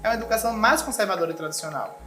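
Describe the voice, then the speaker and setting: A woman's voice: medium pitch, lively intonation, racing. Earnest woman. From Sergipe, Brazil. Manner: earnest, explanatory, acts out quotes of parents. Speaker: school coordinator. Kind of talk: interview guest explaining. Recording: echoey room with background music.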